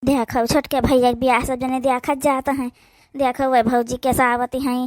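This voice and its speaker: A woman's voice: high voice, level tone, normal speed, no room sound. A woman voicing a sad overworked young sister. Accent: Awadhi. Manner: plaintive, complaining softly. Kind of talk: cartoon voice acting.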